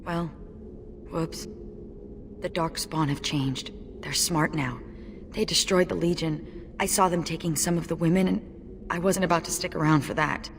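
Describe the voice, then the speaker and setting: Wry, low-voiced warrior woman, noisy backdrop. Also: fairly even intonation, normal speed, pauses often. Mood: rueful, bitter. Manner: wry, understated, matter-of-fact. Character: brave wry female dwarf warrior, sole survivor of her legion. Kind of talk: video game voice acting.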